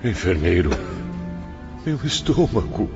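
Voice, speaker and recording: A Brazilian actor playing a pained patient pleading for help in a radio drama. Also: male voice, sits low, very animated, slow, full character voice. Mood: pleading agony. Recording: muffled radio drama with faint music.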